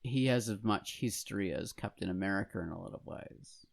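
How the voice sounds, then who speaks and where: A man's voice: fairly low pitch, fairly quick, creaky. A man talking casually as a podcast co-host, hesitant and rambling as he thinks aloud. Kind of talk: casual discussion of films and comics.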